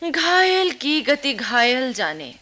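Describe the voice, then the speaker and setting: A woman's voice: sits high, slow pace, full character voice. A woman actor playing a teasing, reassuring young woman, a close friend, in a Hindi radio play with an Indian accent. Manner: playful, teasing, expressive.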